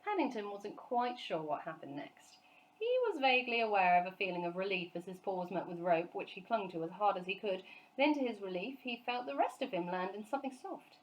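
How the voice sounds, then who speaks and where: A woman storyteller reading a children's book aloud in an expressive narrating style. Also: medium pitch, a little fast, poor audio.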